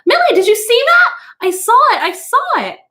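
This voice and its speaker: An excitable, shallow storybook housewife, played by a woman narrator. She gushes eagerly in a raised, high voice. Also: normal speed, heavily acted. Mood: thrilled. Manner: gushing, eager. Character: excitable, shallow housewife Mildred, addicted to wall television.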